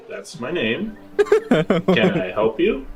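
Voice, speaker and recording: Man playing a whiny, grumpy sleepyhead, swooping pitch. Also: exaggerated voice. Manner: whiny, flustered, sarcastic. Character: grumpy, sleepy, cheeky young man roused from bed. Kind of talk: improvised tabletop roleplay.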